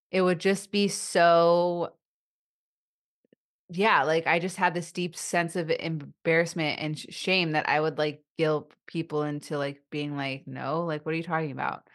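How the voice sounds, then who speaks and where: A woman hosting a podcast interview, speaking with a stutter that brings repeated sounds and brief blocks. Her voice sits low and her delivery is unhurried and reflective. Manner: reflective, thoughtful. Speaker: podcast host. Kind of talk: conversational interview.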